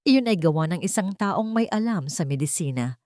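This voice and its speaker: A woman's voice: on the low side, lively intonation, normal speed, darkish tone, dry recording, clean studio sound, close to an everyday voice. A woman voicing a blunt, morally indignant male doctor in a story, speaking firmly and accusingly. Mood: stern, explaining. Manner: firm, accusing, switches into formal English.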